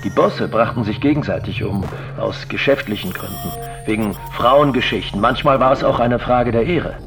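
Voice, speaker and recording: A man playing a cynical, ambitious drug lord delivering a deliberate radio drama monologue. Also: medium pitch, over music, natural voice. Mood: scornful, reflective.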